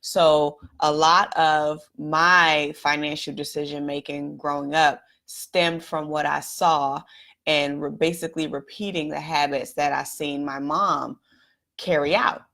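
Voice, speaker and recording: A woman from Washington, D.C. hosting a live stream, telling her own story in a candid, reflective way straight to viewers, her voice a little raspy. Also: sits low, steady tone, unhurried, a bit bright. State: slightly raspy voice. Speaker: host.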